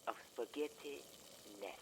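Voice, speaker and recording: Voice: medium pitch, a little slow, vocal fry. A person casually narrating a cooking demonstration, recorded faintly with frying sounds behind. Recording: distant, noisy audio with sizzling pan. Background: frying and stirring sounds.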